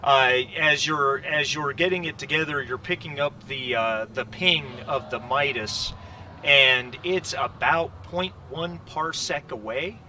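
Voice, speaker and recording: A man narrating casually as game master, improvising with halting pauses. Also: mid-range voice, bright, forceful tone, slight background noise.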